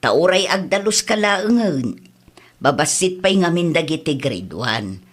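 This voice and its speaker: A woman's voice: low voice, sing-song, a little slow, heavily acted. A woman playing an Ilocano-accented village mother in a comedy-drama, chatting about everyday matters. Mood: plain, chiding.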